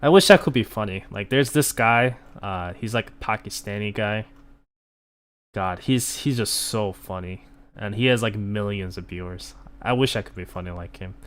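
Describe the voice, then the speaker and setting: A man's voice: fairly low pitch, moderate pace. A man streaming a game, chatting casually with viewers, rambling with many fillers and self-corrections. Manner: relaxed, opinionated about game units.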